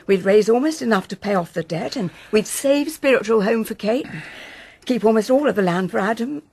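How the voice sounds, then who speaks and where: An actress playing a weary, anxious older wife in a naturalistic radio soap, measured and pleading. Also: female voice, a little high, lively intonation, a little mellow. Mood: anxious, reasoning.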